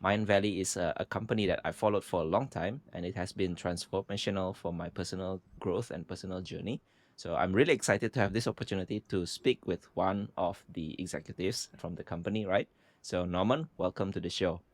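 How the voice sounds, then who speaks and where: Male host, lowish voice, enthusiastic intro read, dry studio sound. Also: brisk, no room sound. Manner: upbeat, enthusiastic. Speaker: podcast host. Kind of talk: scripted podcast introduction.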